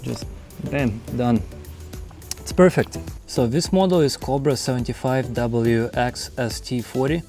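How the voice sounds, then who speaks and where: Male how-to host, soft-edged dark tone, medium pitch, casual and rambling delivery, light music underneath. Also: unhurried. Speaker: host of a truck build how-to video. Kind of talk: casual unscripted hands-on walkthrough.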